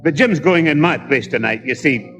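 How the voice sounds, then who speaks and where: A man's voice: fairly high pitch, lively intonation, moderate pace, fairly bright tone. A man playing an evasive, hesitant family man who hems and haws in a 1960s radio comedy. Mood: shifty. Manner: hemming and hawing, shifty. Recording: old radio comedy before live audience.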